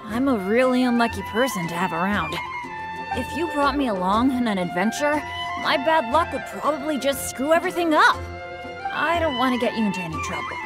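A woman voicing an unlucky, self-effacing teenage boy adventurer in a video game, earnest and hesitant, quick to get excited. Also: a little high, lightly acted, low-quality recording. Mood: bashful, apologetic. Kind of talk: English video game character dialogue. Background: game music.

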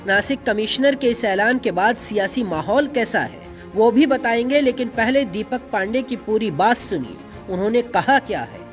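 A woman reading a scripted news voice-over as a narrator. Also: a little high. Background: faint music.

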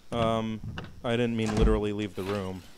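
A man's voice: fairly low pitch, level tone, normal speed. A man co-hosting a game playthrough, casually guiding his partner with teasing, profane banter. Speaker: guiding let's-play co-host. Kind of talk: casual let's-play banter. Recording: game music underneath.

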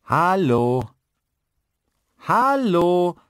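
Man acting out an indignant, high-pitched male client. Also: big pitch swings, very slow, clean tone, no room sound, clean studio sound, exaggerated voice. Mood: impatient calling. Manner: indignant, curt, emphatic. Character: irritated, haughty male client complaining on the phone. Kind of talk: audiobook character acting.

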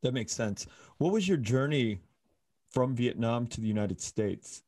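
A man hosting an interview show, speaking into a close studio microphone in a home office. His questions come out calm and measured, and the sound is dry with no room echo. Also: mid-range voice. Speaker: podcast host. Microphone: studio mic with headphones.

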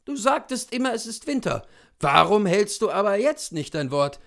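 A man's voice: sits high, big pitch swings, moderate pace, gravelly, fairly bright tone, pitched above the performer's own voice, exaggerated voice. A man voicing a peasant cook woman who scolds a coachman in a read-aloud story. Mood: scolding.

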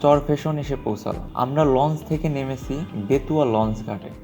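A man's voice: medium pitch, brisk, dark tone. A man with a Bangladeshi accent, reading a scripted travel vlog narration as a presenter and sales representative. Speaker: travel vlogger and marine equipment sales representative. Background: music.

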